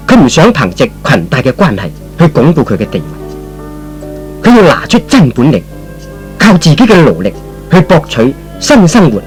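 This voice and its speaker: A man narrating a scripted radio serial in a dramatic, expressive old storytelling style. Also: fairly high pitch.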